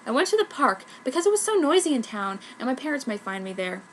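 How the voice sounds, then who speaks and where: A woman's voice: high-pitched, big pitch swings, fast talker, a little hiss or hum. A woman reading an amateur story aloud in a dramatic, comic narration style. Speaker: fanfiction reader.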